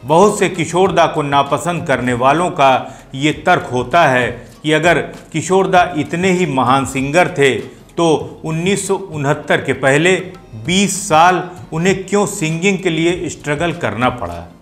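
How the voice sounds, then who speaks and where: A man's voice: on the high side, unhurried, hardly any pauses, fairly bright tone. A man hosting and explaining things to viewers in video commentary. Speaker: host of a film music show. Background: music playing behind the voice.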